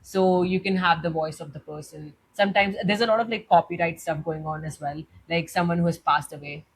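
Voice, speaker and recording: A woman's voice: fairly low pitch, fairly even intonation, a little fast, slightly rough, low-quality recording. A young woman with an Indian accent hosting a tutorial, chatting through a step-by-step demo and leaning on "like" as she explains. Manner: chatty, leans on "like" while explaining. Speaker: tutorial host.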